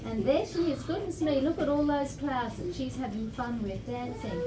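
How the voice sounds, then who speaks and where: High-pitched Australian woman, distant and echoey. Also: animated, moderate pace. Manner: simple, encouraging explanations addressed to young children. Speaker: storytime presenter reading to children. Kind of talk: picture-book read-aloud with questions to children. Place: library event room. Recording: distant, echoey room recording with clear noise.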